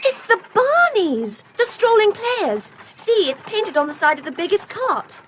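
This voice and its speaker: A woman's voice: extremely high pitch, very animated, a little slow, heavily acted. A woman playing a keen, outspoken child adventurer with a forthright manner in a children's audio drama. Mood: keen, spirited. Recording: slightly noisy tape transfer.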